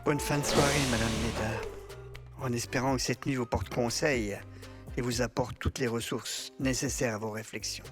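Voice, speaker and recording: A man's voice: medium pitch, fairly even intonation, moderate pace, loud music behind the voice, close to an everyday voice. A man playing a formal, deferential yet insistent adviser in an audio drama, with a pompous, pleading manner. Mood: resigned, courteous. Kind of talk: French audio drama acting.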